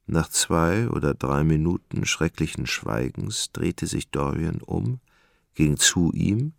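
A male narrator with an extremely low voice and a gravelly creak. He delivers literary radio drama gravely and with measure, in a very dry recording. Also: lively intonation, takes it slowly, no room sound.

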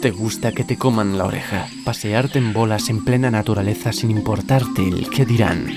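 A man acting a slick, teasing pitchman in a parody travel advert, enticing like a salesman. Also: moderate pace, natural voice. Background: loud music.

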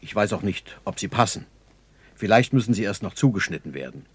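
An actor playing a practical, doubtful German engineer captain in a 1960s radio play, reporting in a matter-of-fact soldierly way. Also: male voice, fairly low pitch, animated, fairly quick, slightly rough, no echo.

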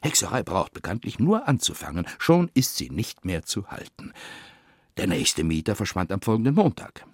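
A very low, crackling voice telling a literary tale for radio. He is wry and dramatic, with big swings of intonation and a husky grain. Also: sing-song, normal speed, a little mellow, no echo, studio quality, full character voice. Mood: wry storytelling. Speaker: actor reading narration and letters.

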